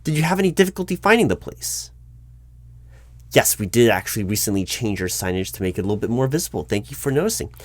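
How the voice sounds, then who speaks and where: A man's voice: fairly low pitch, very animated, quick pace, no echo. A man speaking aloud in a one-sided job interview roleplay as a polite, officious recruiting manager, in a formal and wordy corporate way.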